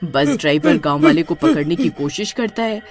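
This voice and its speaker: Lively dramatic storyteller. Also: mid-range voice, normal speed, soft background music. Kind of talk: scripted dramatic story narration.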